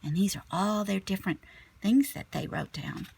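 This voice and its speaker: A woman teacher reading a children's picture book aloud expressively, sometimes dropping to a hushed tone. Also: mid-range voice, normal speed, vocal fry, breathy edge, no room sound. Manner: animated storyteller, drops to hushed whispery tones.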